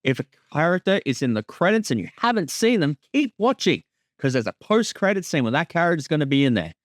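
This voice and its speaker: A man's voice: a little high, sing-song, quick pace, no room sound, studio quality. A man chatting casually as a creator commenting on his show, often doubling back on his opening words. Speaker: creator giving episode commentary.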